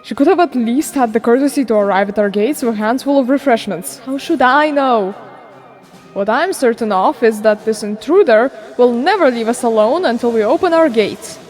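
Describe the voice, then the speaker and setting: Exasperated blood-fiend daughter, acted by a woman, higher voice, formal, dry, sardonic, game fandub, music behind. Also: normal speed, a little mellow, close to an everyday voice. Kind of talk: dramatic game fandub acting.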